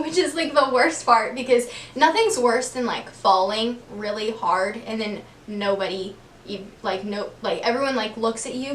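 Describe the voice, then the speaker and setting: A woman's voice: fairly high pitch, echoey room, recorded from a distance, slight background noise. A young woman in her twenties from Texas, chatting casually to the camera with lots of "like" and "um", laughing often. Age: 20s. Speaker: vlogger. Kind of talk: casual get-to-know-me chat.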